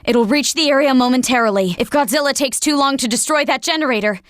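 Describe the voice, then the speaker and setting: An actress as an anxious high-voiced military operator woman. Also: quick pace, no echo, clean studio sound, lightly acted. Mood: tense, reporting. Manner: clipped, dramatic reporting. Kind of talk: video game voice acting, urgent radio-briefing dialogue.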